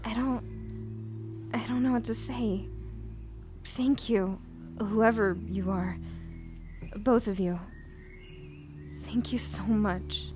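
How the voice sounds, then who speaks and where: Woman playing a slow-paced, earnest sci-fi heroine. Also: on the high side, steady tone, darkish tone, faint music behind. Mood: relieved, moved. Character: loyal, protective woman swept into a frightening sci-fi adventure. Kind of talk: audio drama acting.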